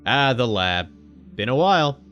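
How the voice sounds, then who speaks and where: A man's voice: mid-range voice, big pitch swings, unhurried, fairly bright tone, muffled recording, soft background music. A man streaming a visual novel, reading its text aloud with wry, joking asides. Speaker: gaming streamer.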